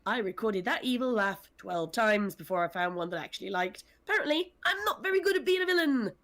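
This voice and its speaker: A woman's voice: a little high, lively intonation, fast talker, fairly bright tone. Wry woman chatting on a panel. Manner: wry, self-deprecating. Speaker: audio drama scriptwriter and director. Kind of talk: casual panel discussion.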